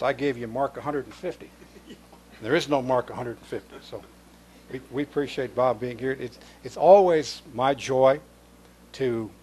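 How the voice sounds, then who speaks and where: A man's voice: mid-range voice, slight background noise, poor audio. A man, the pastor, introducing the guest speaker from the pulpit in a small church, graciously and with small restarts. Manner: gracious, repeats words when starting. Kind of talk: church announcements. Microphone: pulpit microphone.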